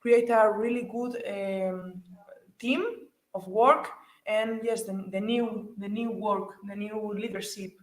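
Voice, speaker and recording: Eighteen-year-old woman from Spain, halting. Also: medium pitch, fairly even intonation. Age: teens. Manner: halting, searching for words. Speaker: participant. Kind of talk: interview answers. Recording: echoey space.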